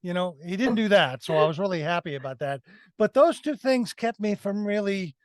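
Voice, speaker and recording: A man's voice: sits high, lively intonation, a little fast, dry recording, clean studio sound. A man giving a conversational film critique as a review panelist, emphatic and repeating phrases for effect.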